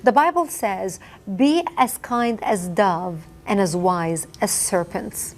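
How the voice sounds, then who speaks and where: A woman's voice: medium pitch, takes it slowly, slight background noise. A middle-aged woman from Lebanon whose first language is Arabic, speaking emphatically as an interview guest and repeating phrases for effect. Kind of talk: impassioned testimony.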